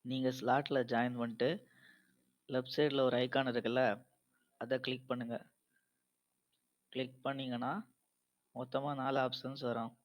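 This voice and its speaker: A man's voice: mid-range voice, flat delivery, moderate pace, long pauses. A man narrating a step-by-step app tutorial in a plain, informal, instructional way. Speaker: tutorial host.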